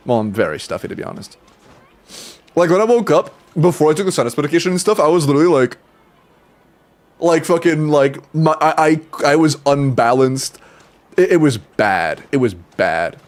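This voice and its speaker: A man's voice: a little high, expressive, moderate pace. Stuffy young man chatting on stream. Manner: rambling, restarts, swears casually, laughs often. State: stuffy, congested from sinuses. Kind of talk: casual livestream chat with viewers.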